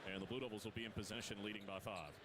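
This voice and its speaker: A man doing radio play-by-play of a basketball game, rapid and rising sharply on big plays. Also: on the low side, steady tone, very noisy. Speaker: play-by-play announcer.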